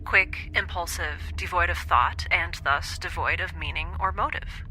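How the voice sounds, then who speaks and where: A woman's voice: on the low side, unhurried, sharp tone, faint music behind, rough recording. A woman voicing a cool, detached scientist mother who speaks bluntly and clinically, as quoted dialogue in an audio drama. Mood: detached, matter-of-fact.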